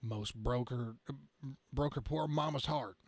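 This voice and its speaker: Earnest comic-book trucker hero played by a man, folksy, mid pitch, dry recording. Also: dry recording. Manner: folksy, halting over slang.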